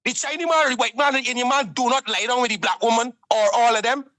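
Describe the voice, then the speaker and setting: A man's voice: very high voice, fast, bright, forceful tone, dry recording, clean studio sound. A man ranting emphatically, declaiming his points. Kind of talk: impassioned rant.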